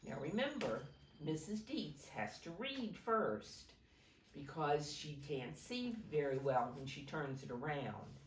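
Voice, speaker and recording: Woman, preschool storytime teacher, very lively intonation, unhurried pace, simple questioning delivery, roomy distant recording with faint noise. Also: low-pitched. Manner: simple, instructive, often questioning the listeners. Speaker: preschool teacher reading aloud.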